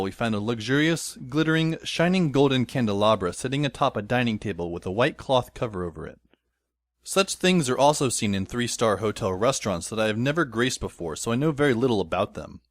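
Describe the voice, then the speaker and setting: A man's voice: mid-range voice, expressive, a little fast, dry recording, close to an everyday voice. A man playing a wry, weary teenage schoolboy who narrates an audio drama in a dry, sardonic way. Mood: dry, observant. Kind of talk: first-person scripted audio drama narration. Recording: music under the voice.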